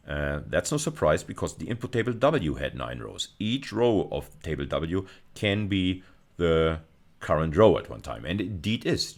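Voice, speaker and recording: A man with an exceptionally deep voice delivers an instructional lecture. He is deliberate and emphatic, often repeating a phrase or rewording it as he explains. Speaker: university lecturer.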